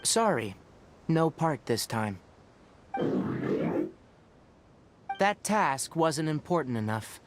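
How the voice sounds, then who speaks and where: An actor playing a composed, knowledgeable young forest ranger in a video game, explaining things in a measured, practical, matter-of-fact way. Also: male voice, on the high side, sing-song, background noise. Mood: calm, practical. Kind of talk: video game character dialogue.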